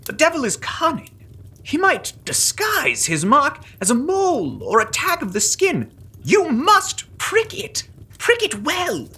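A woman playing a pompous, officious Puritan gentleman who leads a club, in a comic audio drama with archaic diction. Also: high voice, wildly swooping pitch, unhurried, heavily acted. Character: pompous Puritan gentleman, earnest leader of witch-hunting club.

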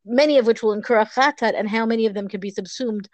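A woman's voice: fairly high pitch, fast talker, a little mellow, dry recording. A woman co-teaching a study session, reflecting aloud with hesitant restarts. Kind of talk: study discussion.

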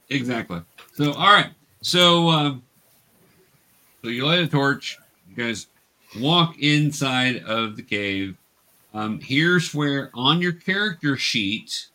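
A man's voice: mid-range voice, bright, forceful tone. A man speaking as a patient game master, casually explaining and narrating a tabletop game for young players over an online call. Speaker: game master teaching children tabletop roleplaying.

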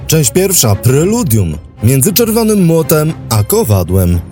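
A man hosting a history podcast, delivering scripted documentary narration in a measured, dramatic storytelling manner. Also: fairly high pitch, wildly swooping pitch, mellow, faint music behind.

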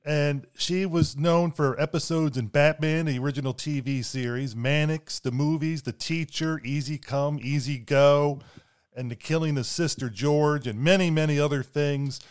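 A man hosting a podcast, introducing his guest and asking questions in a slightly halting way. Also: on the high side, steady tone, clear, resonant tone. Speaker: podcast host.

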